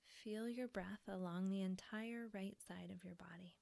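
A woman softly guiding a yoga relaxation meditation in a breathy, soothing manner with pauses. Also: mid-range voice, fairly even intonation. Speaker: yoga nidra teacher.

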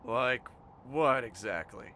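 An actor playing a blunt, hot-tempered stranded space soldier in an audio drama, combative and defiant. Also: male voice, medium pitch, expressive, takes it slowly, bright, forceful tone. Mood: wary, subdued.

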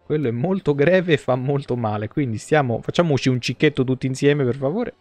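A man's voice: medium pitch, a little fast, darkish tone, no room sound, soft background music. A man, giving casual, slangy live gameplay commentary as a streamer. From Italy. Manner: colloquial, slangy. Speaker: game streamer. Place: indoor streaming room.

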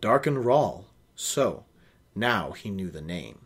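A man's voice: low-pitched, big pitch swings, slow, breathy edge. A young man reading a novel aloud in a small room at home, hosting and adding wry, casual asides. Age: young adult. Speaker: host of a book reading show.

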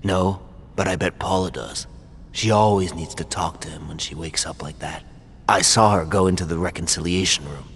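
Casting: troubled ex-thrall for a video game, low register, reverberant recording with faint noise. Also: male voice, moderate pace. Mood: troubled, confiding. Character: troubled, weary male former thrall, remorseful and confiding.

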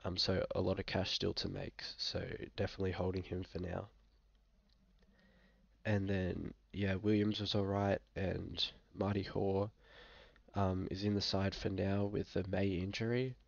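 Young Aussie bloke, under the weather, flat and low, fry in the voice, dull webcam sound; relaxed, hesitant delivery. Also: male voice, measured pace, dry recording. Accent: Australian. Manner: laid-back, frequent fillers, hesitant. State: sick, occasional coughing. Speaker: fantasy football vlogger. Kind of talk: casual screen-share commentary. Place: small room indoors.

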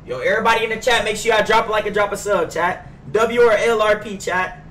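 A man's voice: high-pitched, fast, fairly bright tone, echoey room, recorded from a distance, slight background noise. A young American man live-streaming a roleplay game on a headset, with brash, slangy, profane trash talk. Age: young adult. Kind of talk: casual gaming banter with slang and profanity. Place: indoor gaming setup. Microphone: gaming headset.

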